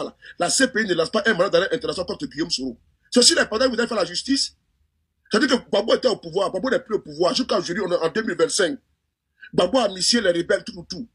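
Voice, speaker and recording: A man's voice: sits high, pushed and bright, breathy edge. A man with an Ivorian French accent giving impassioned political commentary, fervent and repetitive, full of restarts. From Côte d'Ivoire.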